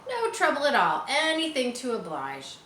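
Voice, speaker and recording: A woman's voice: high-pitched, wildly swooping pitch, normal speed, sharp tone, pitched above the performer's own voice, full character voice. A woman voicing a sly male rat from a storybook, glib and obliging. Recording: roomy echo, faint background noise.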